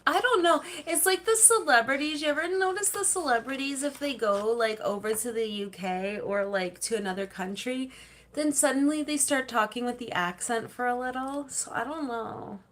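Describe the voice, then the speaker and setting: A woman's voice: sits high, normal speed, a bit bright. A woman hosting a casual livestream, chatting with viewers and reading their comments, rambling and laughing easily. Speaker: livestream host.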